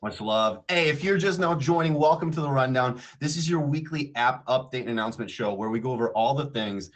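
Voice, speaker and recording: Chatty guy hosting an app update show, upbeat, average pitch. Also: animated, fairly quick. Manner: upbeat and chatty, laughs often. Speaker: show host. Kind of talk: livestream announcement show hosting.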